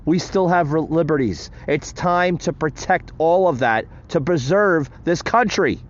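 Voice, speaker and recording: A man's voice: on the high side, a little slow, dull audio. A man hosting a solo political podcast, speaking emphatically and calling his listeners "folks". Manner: emphatic, rousing delivery addressing "folks". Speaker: podcast host.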